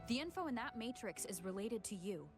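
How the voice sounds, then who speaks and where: A woman's voice: fairly high pitch, big pitch swings, fairly quick, music in the background. An actress playing a serious, dutiful young woman in a video game, speaking in an earnest, composed way.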